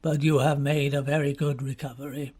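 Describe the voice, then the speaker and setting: A man reading the part of a grave, sinister middle-aged male country doctor in an audiobook, asking slow, deliberate, probing questions in a breathy voice. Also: medium pitch, little pitch movement, a little mellow, natural voice.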